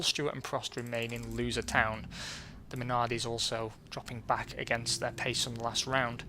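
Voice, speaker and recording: A man casually commentating a racing management game as a let's play host, his voice going hoarse and clearing his throat now and then. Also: medium pitch, slight background noise. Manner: relaxed and chatty, reading out results.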